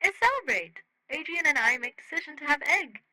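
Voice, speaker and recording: A woman's voice: high-pitched, sing-song, a little fast, bright, forceful tone, no room sound, voice pushed up, exaggerated voice, low-quality recording. A woman voicing an eager, affectionate alien friend who speaks in clipped, broken English in an audio story. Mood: excited. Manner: clipped broken grammar, repeats words. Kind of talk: podfic character voice.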